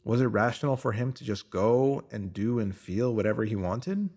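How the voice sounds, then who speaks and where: A man's voice: fairly low pitch, animated, moderate pace, a little mellow. A man reading a scripted motivational piece as narrator, in an earnest, instructive manner with rhetorical questions.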